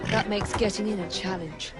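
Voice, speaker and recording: An actress playing a confident, inquisitive young Victorian adventuress with a direct manner in a video game. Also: female voice, medium pitch, fairly even intonation, moderate pace, over loud music, lightly acted. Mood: curious, determined. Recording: heavy ambient background noise.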